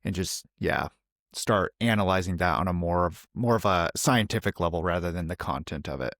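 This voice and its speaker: A man with a somewhat low voice plays in a tabletop game. He talks casually, explaining his moves in everyday language. The recording is dry, with no audible room. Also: a little fast, a bit bright, no room sound.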